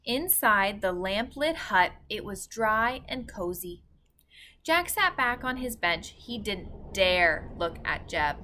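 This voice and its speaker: A woman's voice: mid-range voice, very animated, takes it slowly, sharp tone. A woman reading a children's chapter book aloud as a teacher would. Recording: faint background noise.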